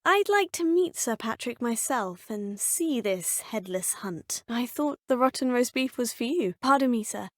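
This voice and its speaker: A woman's voice: on the high side, expressive, unhurried, few pauses, no echo, clean studio sound. A young woman voicing a polite, curious witch student in video game voice lines, composed and often musing to herself. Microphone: close, dry studio mic.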